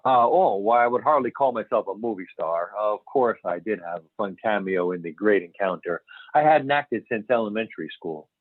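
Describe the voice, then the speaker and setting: A man's voice: on the low side, expressive, moderate pace, no room sound. A man playing a chatty, mildly self-important doctor in an amateur script reading, a little hesitant. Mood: modest, chatty. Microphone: conference call. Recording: tinny phone-like line.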